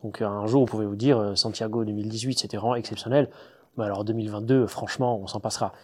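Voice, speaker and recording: A man's voice: fairly low pitch, steady tone, fast. A man, a distiller, answering interview questions with lots of hesitations and restarts; German is his first language. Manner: hesitant, many fillers and restarts. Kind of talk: conversational interview.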